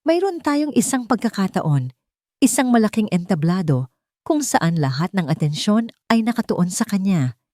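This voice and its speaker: A woman's voice: mid-range voice, sing-song, normal speed, mellow, dry recording, clean studio sound. A woman with a Filipino accent reading a dramatic love story as a scripted narration.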